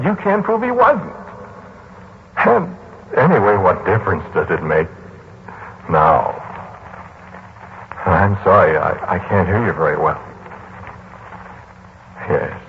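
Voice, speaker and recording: An actor playing a weary, defensive man who tells his story in a halting, conversational, brooding way, in 1940s radio drama style. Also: on the low side, full character voice. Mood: agitated, defensive. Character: weary, defensive male narrator recounting a haunted house. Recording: muffled, hissy 1940s radio recording.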